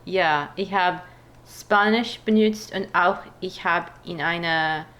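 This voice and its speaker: A woman with a foreign accent chatting casually in a language that is not her own, pausing to search for words. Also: lowish voice, fairly bright tone. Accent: non-native, foreign-accented German. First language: not German; speaks it as a foreign language. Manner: halting, pauses to search for words. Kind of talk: casual language-practice chat.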